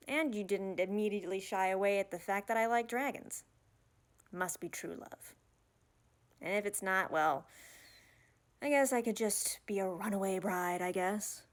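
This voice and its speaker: A woman playing an awkward, bashful young princess in a fantasy audio roleplay, hesitant and stammering, rambling in casual modern phrases. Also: medium pitch. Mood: surprised, pleased, then wry, playful. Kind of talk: ASMR romantic fantasy audio roleplay, one-sided dialogue.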